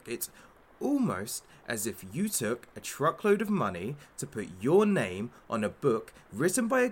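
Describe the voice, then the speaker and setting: An actor playing a menacing, mocking captor who interrogates with pompous sarcasm in an audio drama. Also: male voice, mid-range voice. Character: menacing, sneering, theatrical captor interrogating a young influencer. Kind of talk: audio drama villain monologue.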